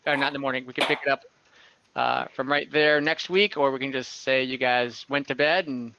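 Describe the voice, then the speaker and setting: A man narrating as game master of an online tabletop game, slow and halting, with many fillers. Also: mid-range voice, steady tone, lo-fi sound, noisy background. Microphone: home microphone, online call.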